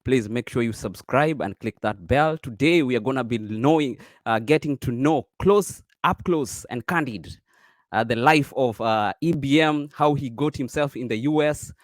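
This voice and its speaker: A man's voice: medium pitch, normal speed, dry recording. A man hosting an online interview show, upbeat and chatty, with lots of fillers. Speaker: host.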